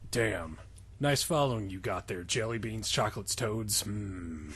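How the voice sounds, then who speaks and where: A man playing a gruff, laconic older wizard mentor in a comic fanfiction reading, speaking slowly and plainly. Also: fairly low pitch, a bit bright, slight background noise, pitched below the performer's own voice. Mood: laconic, calm.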